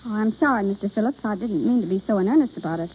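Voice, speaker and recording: An actress playing an eager, nervous, apologetic young amateur in an old-time radio drama, halting and earnest, on a dull vintage recording. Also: female voice, mid-range voice, expressive, a little hiss or hum, natural voice. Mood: earnest, shy.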